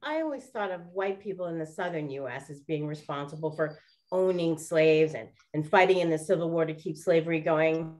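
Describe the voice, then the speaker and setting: A woman's voice: deep voice, a little mellow, close to an everyday voice. An actress playing a plucky, direct woman detective in a 1920s period radio play.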